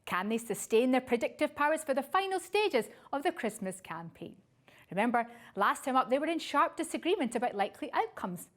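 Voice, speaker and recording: A woman's voice: high voice, very animated, a little fast, fairly bright tone. A woman presenter reading a scripted introduction for a political programme.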